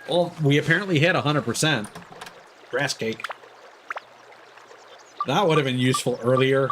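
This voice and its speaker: A man's voice: medium pitch, moderate pace, background noise. A man giving casual, jokey commentary while streaming a video game. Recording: game music behind the voice. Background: video game music.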